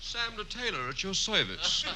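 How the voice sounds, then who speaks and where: A man's voice: sits high, big pitch swings, normal speed. An actor playing a wisecracking male tailor in a 1940s radio comedy, delivering punchlines in a sing-song manner. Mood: cheeky. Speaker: tailor character. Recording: old radio broadcast with hiss.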